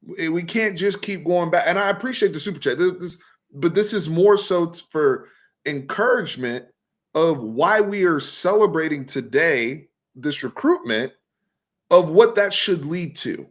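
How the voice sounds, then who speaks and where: A man in his thirties from Texas hosting a casual sports talk stream, animated and fast, often repeating words as he builds his point. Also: fairly high pitch. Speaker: host.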